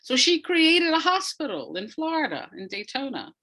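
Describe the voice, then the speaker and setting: A woman's voice: high voice, very animated, normal speed, pushed and bright, poor audio. A woman from the United States speaking as an interview guest, explaining things conversationally and thoughtfully, often searching for the right word and stressing key points. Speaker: interview guest, audio drama writer.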